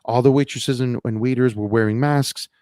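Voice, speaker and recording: A man's voice: medium pitch, fairly even intonation, moderate pace, a little mellow, no echo, clean studio sound. A man in a casual podcast chat, hesitant with many fillers, turning indignant. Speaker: podcast guest.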